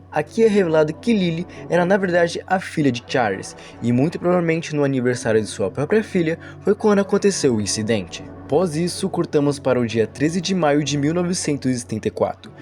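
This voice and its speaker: Higher-pitched Brazilian man narrating. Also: sing-song, a little fast, few pauses, darkish tone, faint music behind. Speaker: video essay narrator. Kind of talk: explanatory storytelling voice-over.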